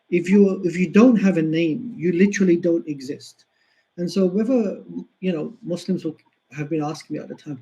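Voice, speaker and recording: A man's voice: a little high, creaky, dark tone, low-quality recording. A man giving an earnest history lecture, driving points home by repeating them. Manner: earnest, emphatic repetition. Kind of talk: explanatory lecture.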